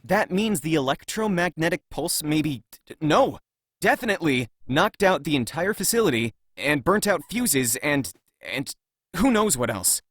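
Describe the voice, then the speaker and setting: Man as nervous, higher-voiced lab worker. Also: sing-song, fairly bright tone, no room sound, clean studio sound, heavily acted. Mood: insistent. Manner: halting, insistent, explanatory. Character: nervous male lab worker bluffing with earnest explanations. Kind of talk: visual novel voice acting.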